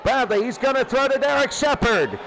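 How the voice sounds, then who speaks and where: A man doing excitable live football play-by-play in a radio style, with conversational asides between plays. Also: very high-pitched, brisk, over a noisy crowd, very noisy. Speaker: play-by-play commentator of a simulated football tournament.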